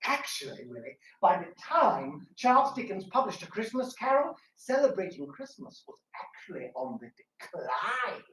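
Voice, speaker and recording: A man's voice: sits high, very animated, normal speed, few pauses, a bit bright, a little hiss or hum. A man co-presenting a scripted talk with banter, like a theatre performer. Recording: roomy, distant mic.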